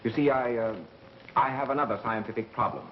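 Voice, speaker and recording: An actor with an American accent playing a calm, methodical engineer turned sleuth in a 1930s film, measured and wry. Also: male voice, medium pitch, lightly acted. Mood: composed. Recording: muffled, hissy 1930s film soundtrack.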